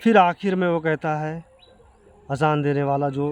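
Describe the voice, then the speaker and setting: Higher-pitched Indian man, earnest and halting. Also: steady tone, clean tone. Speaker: video creator addressing viewers. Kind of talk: explanatory talk to camera.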